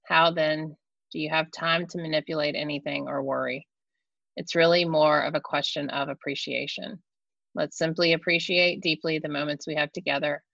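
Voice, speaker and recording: A woman hosting an online chat who talks in a chatty, soft-spoken way and laughs often. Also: sits low, gravelly, no echo, muffled recording. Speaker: host. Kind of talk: casual chat, opening with a guided meditation.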